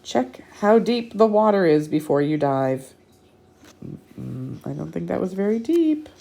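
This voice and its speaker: Low-pitched woman reading aloud to children. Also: measured pace, creaky. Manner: animated, teacherly, dramatic. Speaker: children's storytime host. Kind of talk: expressive picture-book read-aloud with asides to children.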